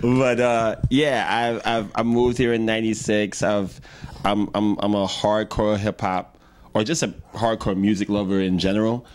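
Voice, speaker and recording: A man's voice: fairly low pitch, little pitch movement, normal speed, a little hiss or hum. A young man telling his story in a casual interview, rambling with many fillers and restarts. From Trinidad and Tobago. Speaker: guest, hip-hop artist.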